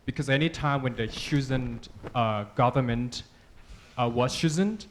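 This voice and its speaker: Thai-accented man, halting audience question, full of fillers, mid pitch. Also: a little hiss or hum. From southern Thailand. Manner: hesitant, halting, many fillers and apologies. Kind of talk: press club Q&A question. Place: press club panel room.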